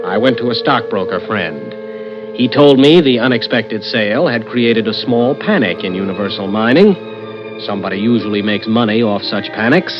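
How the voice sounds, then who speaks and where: An actor playing a confident, wry private investigator, narrating and talking in a 1960s radio drama with a cool, needling manner. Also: male voice, medium pitch, close to an everyday voice. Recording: dull old radio recording, music behind.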